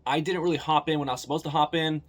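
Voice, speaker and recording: A man's voice: on the high side, fairly even intonation, very fast. Laid-back American guy telling a story. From United States. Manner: laid-back, candid, swears casually. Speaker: vlogger. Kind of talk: casual vlog narration.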